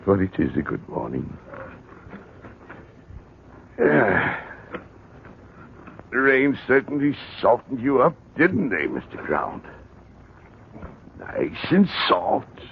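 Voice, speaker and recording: An actor playing a kindly, excitable older gardener who rambles and mutters to himself, in 1940s radio drama style. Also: male voice, mid-range voice, slow, vocal fry, pauses often, full character voice. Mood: genial. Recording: crackly, muffled 1940s radio recording.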